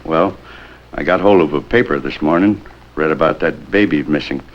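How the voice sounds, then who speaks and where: A man's voice: very deep voice, moderate pace. A man playing a wheedling, money-minded hotel desk clerk in a 1950s American police drama, hedging and ingratiating as he talks. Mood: earnest, hesitant. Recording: dull, noisy 1950s film soundtrack.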